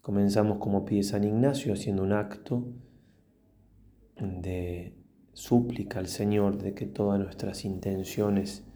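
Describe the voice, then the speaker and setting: A man, a priest leading a guided meditation and prayer in a slow, reverent manner with long pauses. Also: lowish voice, steady tone, dark tone.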